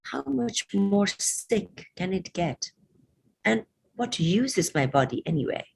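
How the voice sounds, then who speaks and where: A woman's voice: medium pitch, very animated, takes it slowly, vocal fry, a little mellow, slight background noise, pitched above the performer's own voice, heavily acted. A woman voicing a proud, stubborn elderly mother in a poetry recitation, given to exclamatory questions and coaxing repetition. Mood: dismissive. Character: stubborn elderly mother, proud, scolding yet sweetly pleading.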